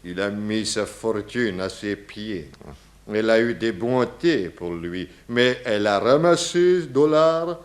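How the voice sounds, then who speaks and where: An eccentric Russian man in an old French radio mystery. His delivery is slow and swings widely in pitch, grand and cryptic like a stage philosopher. The accent is Russian and the grammar is broken. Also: lively intonation. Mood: gossipy, mournful. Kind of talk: 1960s French radio crime drama acting.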